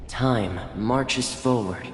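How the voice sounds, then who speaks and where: A man voicing a grave storyteller, delivering measured, portentous narration of an epic chronicle. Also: mid-range voice. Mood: solemn, grand. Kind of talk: scripted dramatic narration. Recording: long reverb with music underneath.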